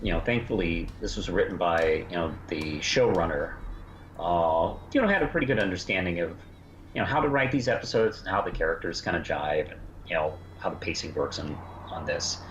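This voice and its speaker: A man's voice: low voice, animated, a little fast. A middle-aged man chatting casually as a podcast co-host, rambling through his points with frequent asides. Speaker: podcast co-host reviewing a fan audio drama. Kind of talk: casual review chat. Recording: echoey, muffled, faintly noisy room.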